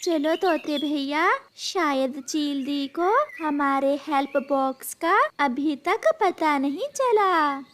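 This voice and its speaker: A woman voicing a kind, generous little female sparrow in a cartoon, gentle and reassuring. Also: high-pitched, slow. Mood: warm.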